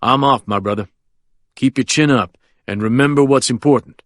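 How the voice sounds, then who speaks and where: A man voicing a suave, confident older male lawyer who talks in an unhurried, smooth, teasing way. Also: mid-range voice, no echo, studio quality, lightly acted.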